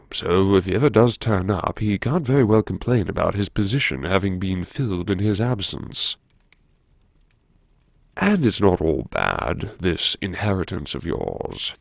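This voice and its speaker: A man's voice: deep voice, big pitch swings, unhurried, gravelly, no room sound, studio quality, heavily acted. A man playing a wry, erudite, self-mocking university head who muses to himself in old-fashioned, droll phrases, as audiobook narration. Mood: wry musing. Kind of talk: audiobook narration as inner monologue.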